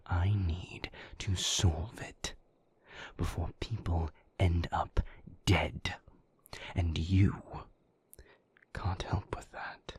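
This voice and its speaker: A man playing a moody, bitter vampire boyfriend in an intimate audio roleplay, breathy and husky, with sardonic, cutting, deliberate phrasing. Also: sits low, steady tone, no echo, full character voice. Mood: curt, brooding. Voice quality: breathy, husky, gravelly half-whisper.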